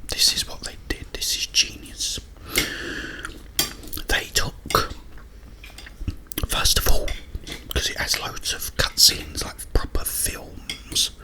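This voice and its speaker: A man rambling in a breathy ASMR whisper, speaking in short, halting phrases with pauses. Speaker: ASMR creator and host. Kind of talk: whispered ASMR ramble about a video game.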